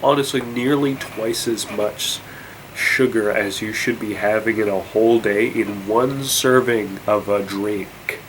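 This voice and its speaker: A man's voice: lowish voice, fairly even intonation, unhurried, a little hiss or hum, rough recording. A congested young man vlogging, rambling and incredulous, with many fillers and restarts. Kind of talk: casual rant to camera.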